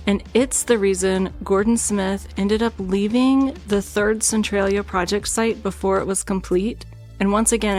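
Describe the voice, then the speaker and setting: A woman co-hosting a true crime podcast, telling the story in a chatty, self-deprecating way. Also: mid-range voice. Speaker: podcast co-host.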